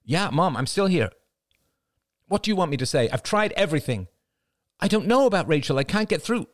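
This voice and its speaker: A man's voice: fairly high pitch, sing-song, a little fast, no room sound, studio quality, voice pushed up. A man voicing an anxious, exasperated young mother in an audiobook, urgent and pleading. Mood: strained, exasperated. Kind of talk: audiobook character voice.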